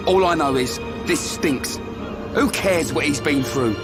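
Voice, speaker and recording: A man acting a hot-headed, blunt young fighter in a video game, slangy and quick to protest. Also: on the high side, lightly acted. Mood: indignant. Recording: game audio with music bed.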